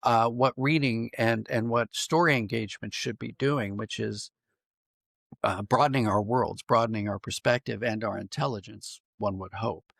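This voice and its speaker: Gravelly man, thoughtful interview guest. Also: fairly low pitch, fairly bright tone, no echo, studio quality. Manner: thoughtful, halting, circling back to rephrase. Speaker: podcast guest, writer and dramatist. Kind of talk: conversational interview answers.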